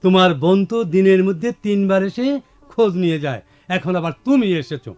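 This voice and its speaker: Patient, higher-voiced postmaster. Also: male voice, animated, brisk, a little mellow, dull audio. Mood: patient, probing. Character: patient, reasoning village postmaster. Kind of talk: radio play acting. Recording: music behind, radio drama.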